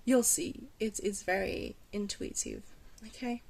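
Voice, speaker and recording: A woman playing a glamorous vampire mentor in an ASMR roleplay, patient, reassuring and gently wry, with a soft, hesitant delivery. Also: medium pitch, huge pitch swings, unhurried, gravelly, breathy edge, heavily acted. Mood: gentle, reassuring.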